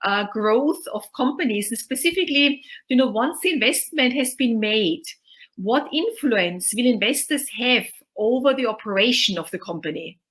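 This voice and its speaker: A woman's voice: on the high side, expressive, moderate pace, fairly bright tone. A woman from Austria, hosting a panel discussion in a chatty way, often filling in with "you know". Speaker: host and moderator. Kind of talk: webinar panel moderation.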